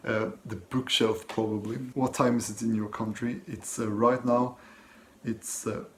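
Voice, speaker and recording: A Swedish man with a Swedish accent, speaking English as his second language, who reads viewer questions aloud and answers them softly and hesitantly from his desk at home. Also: on the low side, little pitch movement, a little slow, slight background noise. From Sweden. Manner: soft-spoken, hesitant, many ums and restarts. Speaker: book channel host. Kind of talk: casual Q&A vlog, reading and answering questions.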